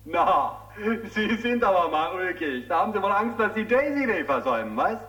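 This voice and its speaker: Glib, bright-voiced game show host. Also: male voice, sits high, sing-song, moderate pace. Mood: mocking. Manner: jovial, condescending, disbelieving. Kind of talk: radio drama acting.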